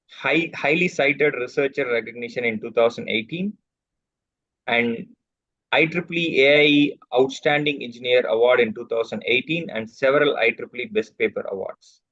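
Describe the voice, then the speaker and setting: A man's voice: medium pitch, fairly bright tone, dry recording. Man reading an introduction. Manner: reads out credentials in measured bursts. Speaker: host introducing a guest speaker. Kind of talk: formal reading of a speaker introduction. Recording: dull, dry online call audio.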